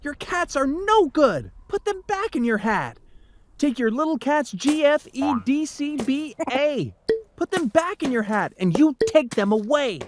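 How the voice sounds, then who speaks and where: A woman voicing upset young children scolding a cat in a read-aloud storybook, high and complaining. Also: big pitch swings, a little slow, slight background noise. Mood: indignant.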